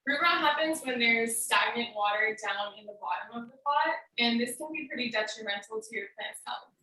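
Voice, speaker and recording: Bright-voiced woman presenter in an echoey room. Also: on the high side, normal speed. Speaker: student giving a demonstration speech. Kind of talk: prepared instructional speech, casual delivery. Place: indoor room on a recorded video meeting. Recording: roomy, echoey, distant microphone.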